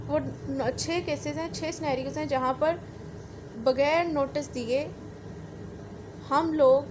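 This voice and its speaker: Female law lecturer, Pakistani accent, high voice, didactic and repetitive, mixing in English terms, clearly noisy recording. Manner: didactic, repeats and rephrases points.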